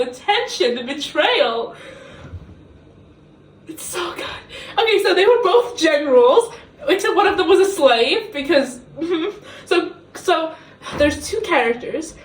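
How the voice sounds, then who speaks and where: A young woman from the Middle East, not a native English speaker, gushes and giggles through a rambling book review. Her voice is high, and it echoes around a kitchen from a few steps away from the camera. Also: takes it slowly, recorded from a distance. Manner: giggly, excitable, self-mocking.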